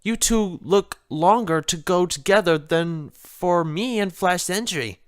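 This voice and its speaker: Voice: mid-range voice, takes it slowly, no echo, clean studio sound, close to an everyday voice. A narrator voicing a bossy, blunt young female pony in a storybook reading, impatient and exasperated.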